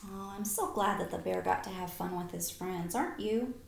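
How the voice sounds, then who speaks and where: A woman teacher reading a picture book aloud to young children, expressive, with simple questions, in an echoey room. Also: lowish voice, normal speed, creaky, a little hiss or hum. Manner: animated, simple child-directed phrasing.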